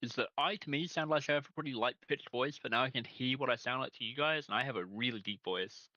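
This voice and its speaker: A man with a deep voice, chatting casually and giving matter-of-fact updates on the stream. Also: fast talker, bright, forceful tone, dry recording, highs cut off. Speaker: tabletop game player. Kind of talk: casual chat.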